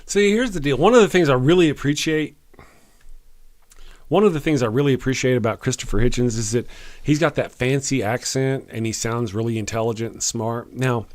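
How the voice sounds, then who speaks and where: A Texan man talks earnestly into a close mic, plain-spoken, with restarts. His voice is mid-pitched and completely dry, with no room sound around it. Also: dry recording. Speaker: live stream host. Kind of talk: casual commentary.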